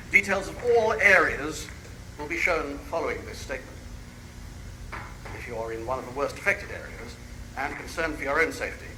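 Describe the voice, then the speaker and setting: Amid clear background noise, a solemn government official played by a man delivers a formal public address. His voice is a little high for a man, and his delivery is measured and official, as in a broadcast emergency drama. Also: lightly acted.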